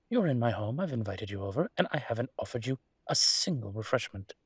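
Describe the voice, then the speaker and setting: A man playing a suave, flirtatious demon professor in an intimate audio roleplay, teasing and indulgent, with a husky, breathy voice and languid, theatrically polite phrasing. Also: mid-range voice, no echo, clean studio sound. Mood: playful, flirtatious. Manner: languid, seductive, theatrically polite with drawn-out words.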